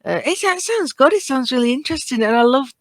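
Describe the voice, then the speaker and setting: A woman's voice: sits high, lively intonation, dry recording. British woman chatting, close dry sound. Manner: halting, thinking aloud. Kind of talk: casual fan chat.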